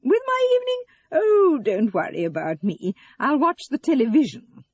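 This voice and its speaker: A woman's voice: high voice, sing-song, normal speed, lo-fi sound, pitched above the performer's own voice. A woman voicing a righteous older mother in an audio story, prim, self-pitying and cutting. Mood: martyred.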